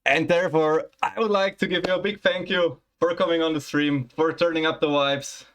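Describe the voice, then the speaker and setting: A man's voice: on the high side, steady tone, moderate pace, fairly bright tone. A man hosting an esports stream, interviewing in an informal, appreciative, chatty way. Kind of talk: casual esports interview chat.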